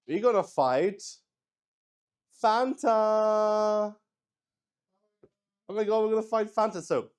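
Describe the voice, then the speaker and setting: A man's voice: fairly high pitch, darkish tone, clean tone. A man improvising narration for a solo tabletop roleplaying game, thinking aloud hesitantly and often repeating his words. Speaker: solo roleplay host and player.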